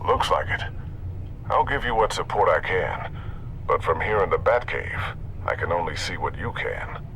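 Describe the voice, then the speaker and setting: A man's voice: low-pitched, animated, moderate pace, fairly bright tone, slight background noise, low-quality recording. A man playing a concerned, supportive tech expert in a video game, speaking earnestly and with caution. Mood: supportive, cautious.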